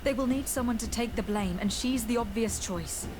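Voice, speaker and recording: Actress as a diplomatic young noblewoman, video game heroine, rather high voice, formal and measured, noisy background. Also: lightly acted. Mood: firm, reasoning.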